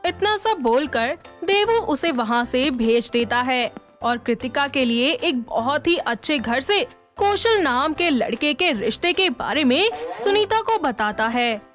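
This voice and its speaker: A woman's voice: high-pitched, very animated, a little slow, a bit bright, faint music behind. A woman narrating a scripted story as storyteller.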